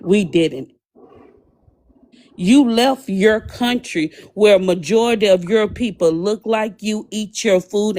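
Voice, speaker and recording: Slow, emphatic lecturing woman. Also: medium pitch, darkish tone. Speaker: panel guest. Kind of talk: heated online panel debate.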